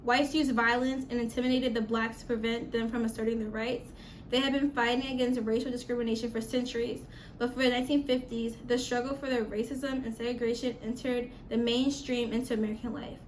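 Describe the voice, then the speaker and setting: A woman's voice: a little high, level tone, a little fast, a little hiss or hum, low-quality recording. A woman presenting scripted informational narration, as in a student project.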